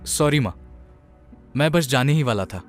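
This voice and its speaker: A man's voice: medium pitch, animated, normal speed, faint music behind, close to an everyday voice. Man playing a heartbroken young groom. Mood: relieved. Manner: earnest, heavy-hearted storytelling. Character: young groom haunted by past grief. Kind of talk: first-person story narration.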